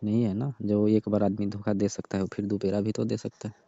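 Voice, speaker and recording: A man's voice: on the low side, level tone, fairly quick, creaky, dark tone, no room sound. A man playing a sulky, distrustful young repairman who grumbles and complains in a staged comic phone call. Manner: complaining, grudging. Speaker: heater repairman. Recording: dull, dry lo-fi phone recording.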